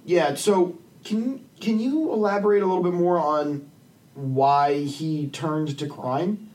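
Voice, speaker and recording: A man's voice: on the high side, slow, a little mellow. A man chatting casually and profanely about films, rambling informally as a podcast panelist. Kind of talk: casual film discussion. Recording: distant from the microphone.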